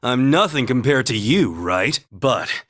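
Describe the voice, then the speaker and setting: A man voicing an earnest, calm young male warrior in a video game, speaking in a measured, sincere and formal way. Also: mid-range voice. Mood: sincere, reassuring. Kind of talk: video game voice acting, battle calls and dialogue.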